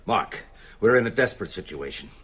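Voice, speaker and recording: An actor playing an ailing old vampire-hunting mentor in radio drama, solemn and urgent, with a husky voice. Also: male voice, lowish voice, animated, a little fast, a bit bright. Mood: grave, urgent. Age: older.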